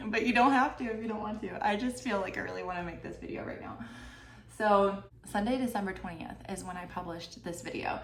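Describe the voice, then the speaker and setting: A woman's voice: medium pitch, moderate pace. A young woman vlogging casually about her channel earnings, chatty and full of "like", sounding stuffed up from a cold. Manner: chatty, peppered with "like" and "you know". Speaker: YouTuber sharing channel earnings. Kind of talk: casual talking-head vlog. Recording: roomy, slightly distant mic, faint background hiss.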